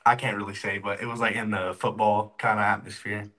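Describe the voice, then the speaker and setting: A man's voice: fairly low pitch, little pitch movement, fairly quick, pushed and bright. Upbeat young man from Texas. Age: young adult. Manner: easygoing, upbeat, many "like" fillers. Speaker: college football player guest. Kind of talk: casual interview answers. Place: indoor room, video call.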